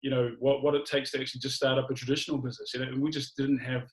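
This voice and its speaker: Male, medium pitch, rapid conversational testimonial, direct address with repeated phrases. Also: flat delivery. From Australia. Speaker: guest speaker telling personal story.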